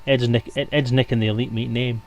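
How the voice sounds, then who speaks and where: A man's voice: mid-range voice, level tone, a little fast, gravelly, crowd noise. A man bantering casually as a guest on a stream.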